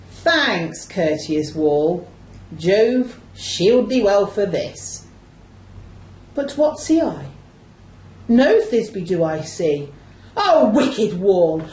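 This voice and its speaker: Overwrought young male lover played by a woman, higher voice, melodramatic Shakespearean declaiming, echoey room. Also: dark tone. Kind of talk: amateur Shakespeare radio play. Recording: roomy, echoing amateur recording.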